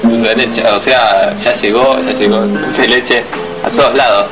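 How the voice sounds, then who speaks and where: An Argentine man hosts a mock radio interview at an ordinary male pitch. Guitar music runs loudly behind his voice. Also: fairly even intonation, normal speed, rough recording. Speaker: host.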